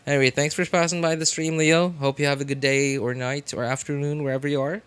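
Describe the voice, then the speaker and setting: A man's voice: fairly high pitch, level tone, fast talker, clean tone. A man chatting casually as a game streamer on a livestream, rambling and often searching for words. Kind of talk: casual livestream chat while gaming. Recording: game audio behind voice. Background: game music and sound.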